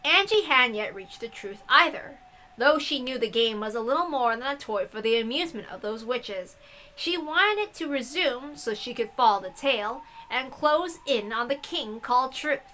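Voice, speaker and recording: A woman narrates a visual novel in a high, bright voice. Her reading is expressive and drops into casual asides. A faint noise runs behind the recording. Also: normal speed, rough recording.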